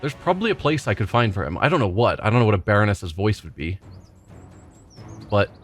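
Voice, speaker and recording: Low-voiced man thinking aloud over gameplay. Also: big pitch swings, fairly quick, faint music behind. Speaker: let's play host. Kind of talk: casual gameplay commentary.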